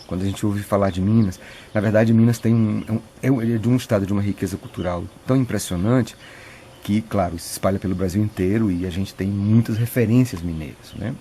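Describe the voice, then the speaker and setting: Middle-aged priest, low, warm voice, reflective and hesitant, light background hiss. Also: male voice, a little fast. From Piauí, Brazil. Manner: thoughtful, many restarts and filler "né". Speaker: retreat preacher, priest. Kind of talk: reflective interview answers.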